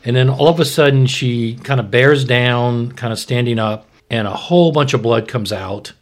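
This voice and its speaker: A man's voice: medium pitch, normal speed. A man co-hosting a podcast, telling things in a loose, rambling, conversational way and repeating himself. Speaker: podcast co-host. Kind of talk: casual conversational storytelling.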